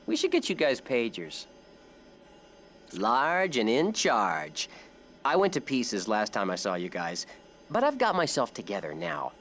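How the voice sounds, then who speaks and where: An actor voicing a jokey, upbeat superhero in a video game, with a playful, breezy way of talking. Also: male voice, medium pitch, wildly swooping pitch, unhurried, fairly bright tone. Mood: joking. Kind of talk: animated video game acting.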